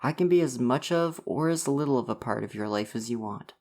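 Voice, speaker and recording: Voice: mid-range voice, expressive, a little fast, close to an everyday voice. A performer playing an affectionate visual novel boyfriend in an ASMR roleplay, earnest and reassuring. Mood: reassuring, tender. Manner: soft-hearted, reassuring, earnest. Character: gentle, affectionate dating-sim boyfriend come to life. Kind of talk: ASMR roleplay, personal affirmation.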